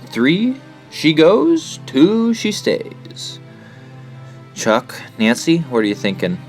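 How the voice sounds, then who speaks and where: A man's voice: on the high side, huge pitch swings, slow, a little mellow, full character voice. An actor playing an earnest teenage boy who leads and narrates for a group of survivors in an audio drama. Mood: grave. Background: music behind the voice.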